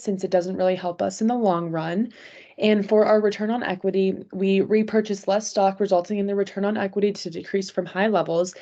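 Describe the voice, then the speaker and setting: Woman, company presenter, soft-edged mellow tone, prepared delivery, dull lo-fi recording. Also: medium pitch. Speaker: company presenter on a mock earnings call.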